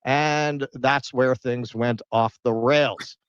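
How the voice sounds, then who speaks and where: A man's voice: mid-range voice, fairly even intonation, slow pace, clear, resonant tone, dry recording, lo-fi sound. A man reading a news summary and adding dry, mocking commentary.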